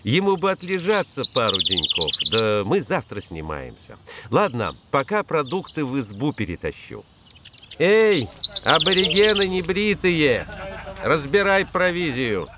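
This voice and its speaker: A man's voice: on the high side, big pitch swings, unhurried, heavy background noise. A man playing a hearty, joking geologist at a remote camp in a children's audio drama, with jovial colloquial speech. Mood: jovial, brisk. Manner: jovial, colloquial, drawling exclamations.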